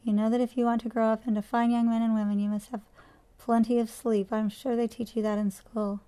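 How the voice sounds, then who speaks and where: A woman's voice: a little high, little pitch movement, brisk, mellow, smooth, close to an everyday voice. A woman voicing a patient, tired mother speaking politely. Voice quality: polite and proper. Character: patient, weary mother, Mrs. Gray.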